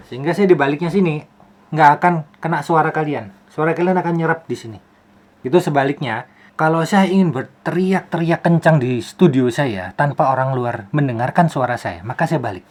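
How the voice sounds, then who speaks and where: A man's voice: fairly high pitch, normal speed, darkish tone. A man giving a casual tutorial as a video host, explaining things with rhetorical questions and repeated phrases. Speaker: YouTube host, film soundman.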